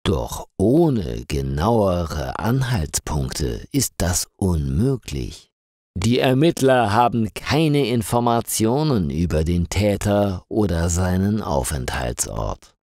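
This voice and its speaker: A man narrating a scripted crime story for language learners in a slow, clearly articulated reading with lots of rise and fall. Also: low voice, very animated, a little mellow, no echo, studio quality.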